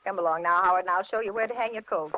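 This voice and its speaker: A woman's voice: medium pitch, racing, close to an everyday voice. Actress as a trusting older landlady, old radio sound. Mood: cheerful, dismissive. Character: kindly, trusting older widowed landlady, gently fussing. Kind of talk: 1950s radio suspense acting and first-person narration. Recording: dull, noisy 1950s radio recording.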